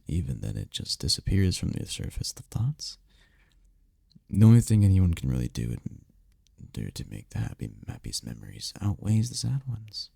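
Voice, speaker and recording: Man acting as male incubus lover, very dark husky voice, soothing, teasing, intimate ASMR roleplay, close dry mic. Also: dry recording, exaggerated voice. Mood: gentle, consoling. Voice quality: gravelly, dark low voice. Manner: soft, soothing, teasing, lapses into whispers. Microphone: close microphone, dry.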